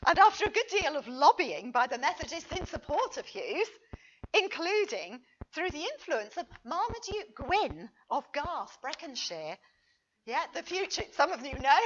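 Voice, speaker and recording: A woman reading a history paper aloud as a conference speaker, expressive and emphatic, with a wry touch. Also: high voice, highs cut off, a little hiss or hum. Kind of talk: prepared lecture read aloud to an audience.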